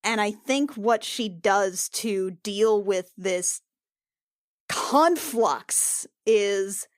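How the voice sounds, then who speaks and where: A woman's voice: mid-range voice, very slow, no echo, studio quality. A woman playing in a tabletop podcast, narrating her character's thoughts and actions. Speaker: player on actual-play podcast.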